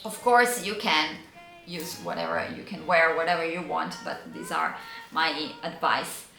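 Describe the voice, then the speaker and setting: Slow, hesitant Italian-accented woman. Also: deep voice, very animated, vocal fry, reverberant, soft background music. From Italy. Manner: hesitant, searching for words, frequent fillers and soft laughs. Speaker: vintage style vlogger and yoga teacher. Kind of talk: casual advice vlog.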